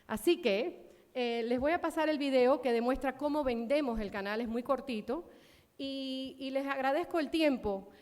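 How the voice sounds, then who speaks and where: A woman's voice: high voice, normal speed, a little mellow. A woman executive giving a conference presentation, speaking passionately and emphatically, with frequent fillers and restarts. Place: conference hall. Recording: long hall reverb.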